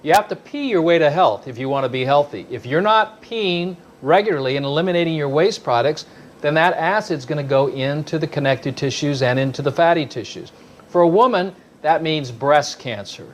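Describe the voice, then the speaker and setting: A man's voice: fairly high pitch, animated, a little fast, a little hiss or hum. A man giving an explanatory health talk emphatically, asking questions and answering them himself. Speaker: health speaker.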